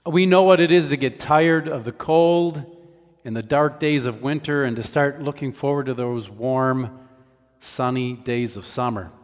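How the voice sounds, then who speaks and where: A man giving a conversational sermon as a preacher, in a measured, explanatory way. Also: medium pitch, fairly even intonation. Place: large church hall. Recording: reverberant hall sound.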